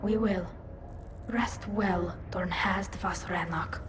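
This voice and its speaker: An actress playing a compassionate young alien squadmate in a video game, gentle and solemn. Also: female voice, on the low side, fairly even intonation, slow pace, roomy sound, noisy background. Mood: tender, solemn. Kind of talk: video game cutscene acting.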